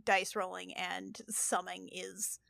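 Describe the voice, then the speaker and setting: A woman's voice: on the low side, level tone, slow, creaky, a bit bright. A woman bantering casually as a podcast panelist, with an emphatic, playful way of talking. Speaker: podcast panelist, tabletop player.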